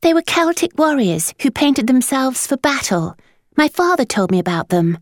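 Woman doing a cheeky little boy, high, chirpy, matter-of-fact, dry. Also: big pitch swings, moderate pace, no echo. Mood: cheerful. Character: cheerful mischievous eight-year-old boy.

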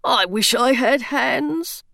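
A woman's voice: high-pitched, takes it slowly, vocal fry, bright, forceful tone, dry recording, studio quality, pitched above the performer's own voice, heavily acted. A woman doing a fretful, theatrical stone gargoyle. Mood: grumbling. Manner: indignant and self-pitying, theatrical sighs.

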